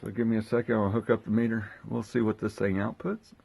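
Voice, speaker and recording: Male demo host, low relaxed voice with fry, casual and unhurried, slight background noise. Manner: unhurried, thinking aloud while handling gear. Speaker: host of a gear unboxing and testing video. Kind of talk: casual hands-on demonstration.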